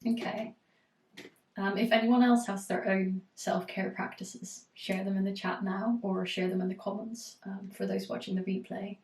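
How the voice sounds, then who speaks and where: Hoarse woman with a cold, level delivery, coaching live; distant mic, echoey room. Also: medium pitch, moderate pace. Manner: reflective, steady advice with frequent fillers. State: has a cold, voice going hoarse. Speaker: eating disorder recovery coach.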